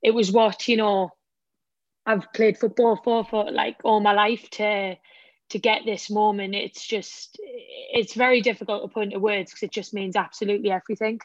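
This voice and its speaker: A woman footballer with a Northern Irish accent, a slightly croaky voice and a halting, emotional way of talking in a radio interview. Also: medium pitch, steady tone, no echo, lo-fi sound. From Northern Ireland. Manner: halting, many restarts, emotional. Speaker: footballer and pundit guest.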